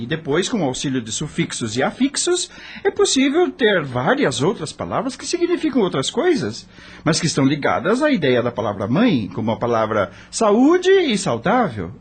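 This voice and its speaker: An actor playing an eager young male student, explaining earnestly with a husky voice in a radio drama. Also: on the high side, heavily acted.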